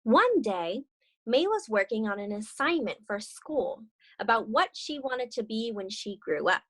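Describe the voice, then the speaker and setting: A young woman reading a children's storybook aloud on a video call, expressive and encouraging as she chats about it. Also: a little high, unhurried. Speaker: read-aloud co-host, story reader.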